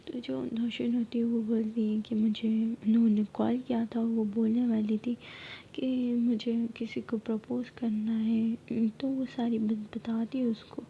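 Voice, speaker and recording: A woman tells a story almost entirely in a soft, steady whisper. Her voice sits a little high but hardly moves in pitch. The recording sounds a touch dull, with a faint rumble underneath. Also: creaky.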